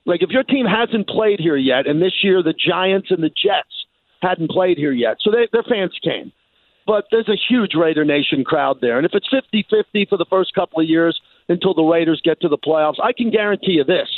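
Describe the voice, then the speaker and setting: A man from New York in animated sports talk as a radio guest, speaking emphatically and repeating phrases for effect. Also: fairly high pitch, moderate pace, no echo. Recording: phone-like thin band.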